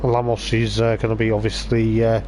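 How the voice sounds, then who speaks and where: A man with a voice going hoarse, giving rambling live race commentary on a stream, with lots of hesitant fillers. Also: fairly low pitch, monotone, normal speed, a little mellow, a little hiss or hum. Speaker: sim racing league commentator.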